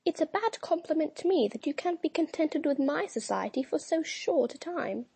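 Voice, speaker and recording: A man's voice: very high-pitched, expressive, moderate pace, vocal fry, darkish tone, no room sound, voice pushed up, heavily acted. A man voicing a proud, fierce woman in her thirties with a teasing, mocking manner in a dramatic audiobook reading. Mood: reproachful.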